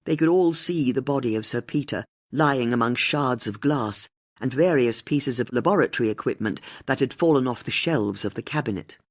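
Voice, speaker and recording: A woman with a husky voice, reading a mystery audiobook as scripted narration. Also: extremely low pitch, moderate pace.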